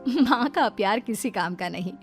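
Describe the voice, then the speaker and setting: A woman's voice: medium pitch, animated, moderate pace, soft background music. A woman narrating a scripted domestic moral story as a storyteller.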